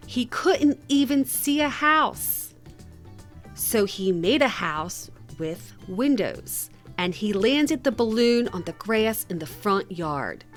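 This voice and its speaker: Slow-paced woman reading a children's storybook. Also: mid-range voice. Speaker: read-aloud host.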